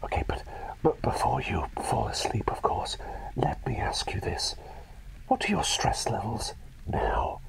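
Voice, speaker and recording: A man voicing an eccentric, doting plague doctor in a breathy, hesitant, soothing whisper for an ASMR roleplay. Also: mid-range voice, big pitch swings, a little slow, exaggerated voice, low-quality recording. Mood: curious, fussing. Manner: hesitant, muttering, gently soothing and coaxing.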